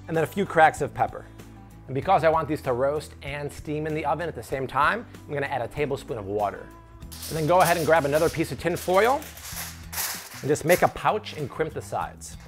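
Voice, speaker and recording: A man in his thirties hosting an upbeat cooking tutorial in a home kitchen, playful and full of jokey asides. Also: fairly high pitch. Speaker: cooking show host.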